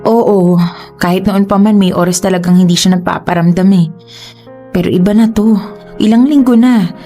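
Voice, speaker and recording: A woman's voice: medium pitch, steady tone, moderate pace, warm tone, faint music behind, close to an everyday voice. A woman with a Filipino accent acting an emotional, reproachful young girlfriend in a radio drama. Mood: worried. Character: hurt, indignant young girlfriend pleading with her boyfriend.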